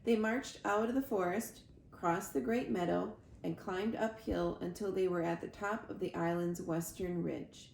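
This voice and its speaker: A woman reading a children's storybook aloud with expressive narration. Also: lowish voice, measured pace, few pauses. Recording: roomy echo, clear background noise.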